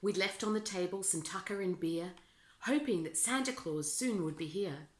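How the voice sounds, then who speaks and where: A woman reading rhyming children's verse aloud as an expressive storyteller. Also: lowish voice, moderate pace. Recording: roomy, echoing indoor sound.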